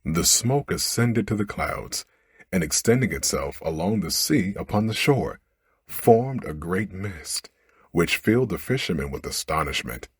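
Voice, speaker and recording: A man's voice: low-pitched, sing-song, unhurried, husky, no room sound. A man narrating an ASMR bedtime story, reading expressively into a close microphone.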